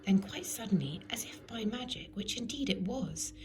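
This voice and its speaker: A woman narrating a children's storybook in an expressive, deliberate storytelling manner. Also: fairly high pitch, over music. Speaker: storybook reader.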